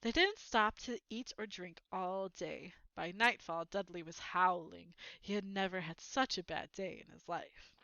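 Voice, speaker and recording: A woman reading a children's book aloud as an expressive storyteller. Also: lowish voice, unhurried, dry recording, lo-fi sound.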